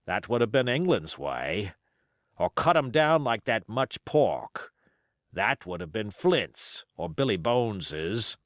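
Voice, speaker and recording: A man voicing a sly, commanding pirate sea cook in a storybook, with folksy sailor grammar and deliberate, weighty delivery. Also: lowish voice, sing-song, no echo, studio quality. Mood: admiring, scheming.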